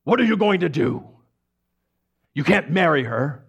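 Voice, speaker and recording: A man voicing a stern, furious older father, shouting his indignant words in a theatrical monologue. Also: a little high, big pitch swings, a little slow, gravelly, husky, voice pushed up, exaggerated voice.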